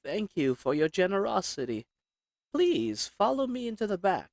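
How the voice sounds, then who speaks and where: A man's voice: high voice, sing-song, normal speed, a little mellow, no echo, pitched above the performer's own voice. A man voicing a polite, meek storekeeper in a roleplay audio drama, courteous and hesitant in manner. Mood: hesitant, deferential. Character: polite, meek storekeeper, an assassin's flirty disguise.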